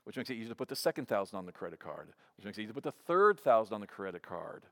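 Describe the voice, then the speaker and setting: A man's voice: fairly low pitch, sing-song, rapid-fire, a bit bright. A man in his fifties preaching a sermon in a conversational way, often restarting with repeated words. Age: 50s. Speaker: pastor.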